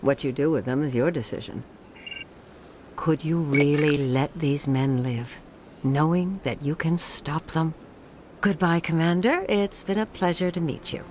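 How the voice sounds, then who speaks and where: A woman's voice: low voice, a little slow, a little mellow. A woman playing a calculating underworld businesswoman in a video game, speaking formally, with composed persuasion. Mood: composed, insistent. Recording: faint ambient noise behind.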